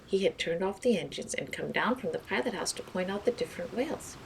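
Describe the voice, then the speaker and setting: A woman's voice: medium pitch, animated, fast, vocal fry, slight background noise. A woman reading a scripted children's story aloud as narrator. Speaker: storybook reader.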